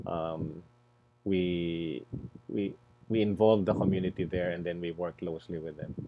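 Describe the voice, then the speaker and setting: A man speaking as an NGO panelist, deliberate and halting, often repeating words as he searches for phrasing. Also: low-pitched, steady tone. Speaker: environmental NGO executive, panel presenter. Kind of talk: spontaneous panel presentation. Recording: muffled, noisy online webinar audio.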